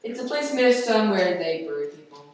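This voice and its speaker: A woman's voice: mid-range voice, expressive, brisk, darkish tone, close to an everyday voice. A woman playing a gloomy, earnest, pedantic young boy poet in a radio play. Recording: echoey room, distant mic, faint noise.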